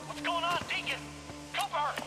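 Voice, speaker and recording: An actor playing an urgent military commander giving orders over a two-way radio in a video game. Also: male voice, extremely high pitch, fairly even intonation, normal speed, loud music behind the voice. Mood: alarmed, urgent. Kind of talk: dramatic video game acting.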